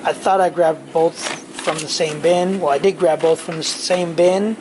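A middle-aged man rambles slowly through a casual haul vlog, trailing off and correcting himself as he goes. He is filming in his home garage on a handheld camera. There is a steady hiss of noise under the voice. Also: fairly high pitch. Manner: rambling, slow and offhand, with many fillers and self-corrections. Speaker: channel host. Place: home garage workshop, indoors. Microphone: handheld camera mic, filming point of view.

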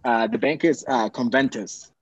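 A man's voice: medium pitch, normal speed, husky, no room sound. A young man from Peru chatting casually on a video call from a small room at home, telling a rambling story full of fillers and restarts. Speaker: real estate investor guest. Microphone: video call with earbuds. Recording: dull, dry video call audio.

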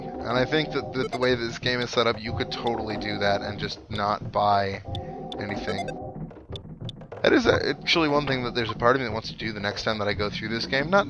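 A man's voice: on the low side, dull audio. A man giving casual video game commentary as a let's play host, rambling and thinking aloud with mild exclamations. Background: video game music.

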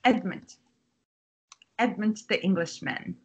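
A woman acting a nervous, doting, pushy widowed mother in a screenplay read, with a husky voice. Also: mid-range voice, slow pace. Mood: doting, insistent. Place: indoors on a group video call. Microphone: video call.

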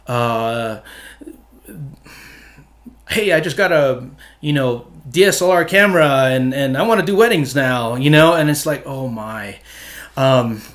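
A middle-aged man from Hawaii talks earnestly about his work, with frequent restarts and trailing ends. He sits at a desk mic in a small studio room. His voice sits at an ordinary pitch for a man. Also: expressive, measured pace, pauses often, fairly bright tone. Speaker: photographer guest. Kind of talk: casual interview answers. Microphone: desk mic on stand.

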